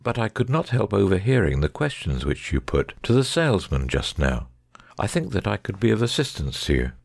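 A man playing a shrewd, unruffled Victorian gentleman detective in a dramatic audiobook. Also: fairly low pitch, big pitch swings, brisk, gravelly, husky, dry recording, studio quality, full character voice. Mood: bland, assured. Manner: careless, bland, unruffled.

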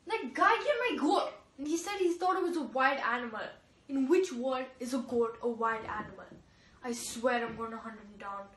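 A woman's voice: fairly high pitch, little pitch movement, normal speed, fairly bright tone, a little hiss or hum, natural voice. A woman voicing a furious goat owner who rants in a sarcastic, indignant way. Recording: echoey room, voice far from mic.

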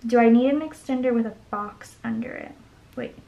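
A young woman with a voice going hoarse chats casually while she decorates her planner at a desk at home. She rambles and counts under her breath as she works. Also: fairly high pitch, brisk, highs cut off, soft background music. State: losing her voice. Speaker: planner vlogger. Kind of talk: casual plan-with-me chat. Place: home desk, camera overhead.

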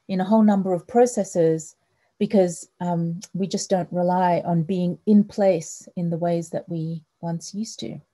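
A middle-aged woman from Australia opens an online talk over a headset on a video call, her voice low-pitched and very mellow. She speaks in a measured, welcoming way, with frequent ums. The sound is that of a small wood-panelled room.

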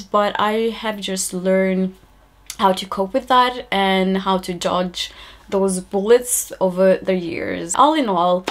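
A young woman in her twenties, born in Rwanda and raised in Norway, talking to camera in a calm, measured, scripted vlog from a bright indoor room. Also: medium pitch. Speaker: vlogger.

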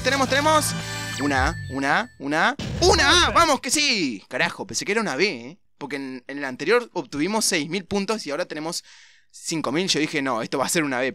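A young man with a Latin American Spanish accent giving casual, excitable, repetitive let's play commentary as a gaming host. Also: on the high side. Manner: excitable, repetitive, mild swearing. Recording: loud game music behind voice. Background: video game music and sounds.

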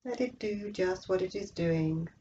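Female meditation guide, soft-edged dark timbre, slow and soothing, slightly muffled recording. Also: medium pitch, slight background noise. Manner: slow, measured, soothing delivery with long pauses. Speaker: yoga nidra instructor.

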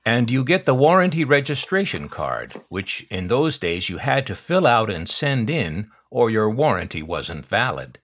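A man's voice: mid-range voice, sing-song, moderate pace, hardly any pauses, fairly bright tone. An older American man narrating an unboxing video with wry, dry humor and nostalgic asides. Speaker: host of a collector's unboxing channel.

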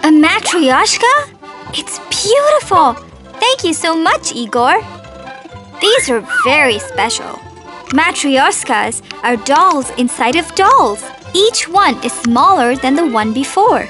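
A curious young girl from a children's cartoon. The voice is very high and swings widely in pitch. She speaks at a slow, cheerful pace. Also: very animated, over music, exaggerated voice. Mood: friendly.

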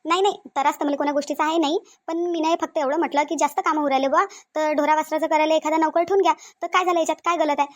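A woman's voice: high voice, fairly even intonation, very fast, hardly any pauses, lightly acted. A woman with a Varhadi Marathi accent playing a stubborn, aggrieved young daughter-in-law in a cartoon family drama, complaining insistently and repeating herself. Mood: angry, aggrieved.

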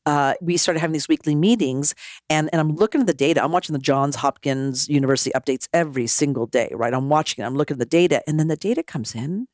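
A woman, a former mayor, telling her story as a podcast guest in an animated way, often acting out what people said. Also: low-pitched, quick pace, no echo, clean studio sound. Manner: animated, acts out quoted dialogue. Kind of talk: storytelling conversation.

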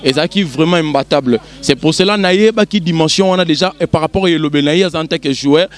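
Earnest Congolese man, fairly high voice. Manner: earnest, repeats himself, halting. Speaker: interviewee, football fan. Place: outdoors on a city street. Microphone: reporter's handheld mic.

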